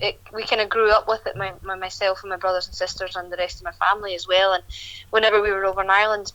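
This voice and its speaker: A woman's voice: medium pitch, bright, forceful tone, dry recording, dull audio. A young woman, a country singer chatting at length in an interview, often restarting her sentences. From Scotland.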